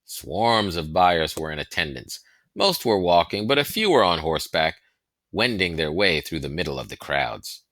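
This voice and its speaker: A man reading a historical novel aloud as scripted narration, with deliberate, dramatic phrasing and stressed pauses. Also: low-pitched, very animated, fairly bright tone, no echo. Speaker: audiobook reader.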